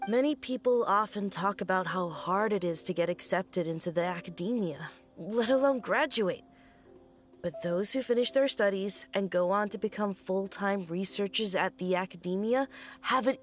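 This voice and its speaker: A woman's voice: mid-range voice, natural voice. An actress playing a friendly, welcoming female merchant in a busy port town in a video game. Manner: welcoming, chatty. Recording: game audio with faint music.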